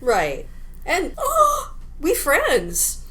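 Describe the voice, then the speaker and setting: A woman's voice: high-pitched, huge pitch swings, extremely slow, bright, forceful tone. A woman co-hosting a podcast, chatting casually about video games in an informal, jokey, rambling way. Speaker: podcast co-host.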